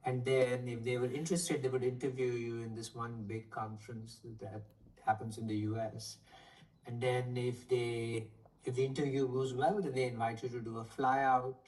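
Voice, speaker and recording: A man in his forties, an economics professor, answering interview questions thoughtfully over a video call with frequent pauses and fillers. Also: medium pitch, flat delivery, darkish tone. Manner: thoughtful, hesitant with many fillers. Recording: distant from the mic, faint noise.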